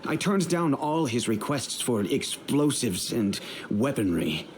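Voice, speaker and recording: An actor playing a middle-aged, remorseful wealthy merchant and father in a video game, speaking in a measured, earnest, formal way. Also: medium pitch, very animated, a little hiss or hum. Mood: regretful, troubled. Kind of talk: dramatic video game dialogue.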